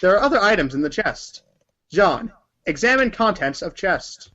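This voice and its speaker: A man's voice: high voice, sing-song, normal speed, bright, forceful tone. A man reading scripted narration for a read-along story performance, in a dull recording.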